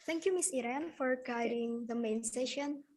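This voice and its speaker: Female webinar MC, formal announcer style, voice a touch high. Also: normal speed, darkish tone. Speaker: master of ceremony. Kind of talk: reading agenda announcements.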